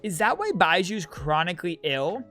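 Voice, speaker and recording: A woman's voice: fairly low pitch, sing-song, normal speed, fairly bright tone. A woman streaming a video game, reacting bluntly and thinking aloud about the story. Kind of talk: reactive gameplay commentary. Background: game audio and music.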